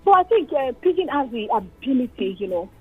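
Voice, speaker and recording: Brief: Nigerian woman radio caller, high voice, storytelling, dull lo-fi line. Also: sing-song, fairly quick, slightly rough, faint music behind. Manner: chatty, storytelling, exclaims. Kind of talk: casual phone-in chat.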